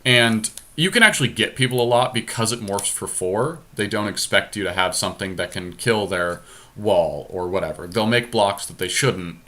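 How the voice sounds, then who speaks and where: Low, bright-voiced man talking through picks. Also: normal speed. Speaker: podcast host drafting a card game. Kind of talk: casual game commentary, thinking aloud.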